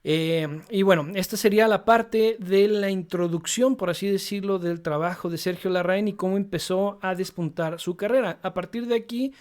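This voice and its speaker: Man, fairly high voice, relaxed unscripted explainer, many hesitations. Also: moderate pace, few pauses. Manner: relaxed, frequent fillers "eh" and "este". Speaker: photography channel host.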